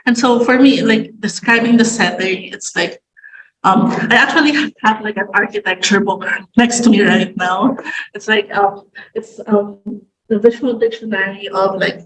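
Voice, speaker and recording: A young Filipino woman, a native Tagalog speaker with a Filipino accent, talking in a halting way with many fillers and restarts over a video call. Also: medium pitch, a bit bright, roomy sound, poor audio. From Philippines. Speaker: guest writer. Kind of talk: casual conversation. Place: room at home.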